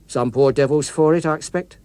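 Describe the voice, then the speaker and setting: A man's voice: fairly high pitch, brisk, mellow, clear, resonant tone, no echo, close to an everyday voice. A man voicing a menacing rogue policeman, a vengeful criminal who taunts and accuses, in a dramatic audiobook. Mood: gruff, curt.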